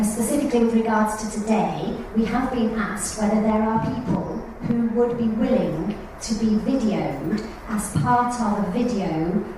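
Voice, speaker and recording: A woman facilitating a support group meeting, speaking in a measured way with plenty of pauses and ums. She sits in the middle range for pitch. The recording is distant and very echoey, with clear room noise underneath. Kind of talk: meeting announcements and speaker introduction. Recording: echoey room, far mic, noisy.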